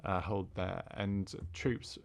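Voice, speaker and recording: A man interpreting a formal speech into English, halting, with fillers and restarts. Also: low-pitched, fairly even intonation, vocal fry.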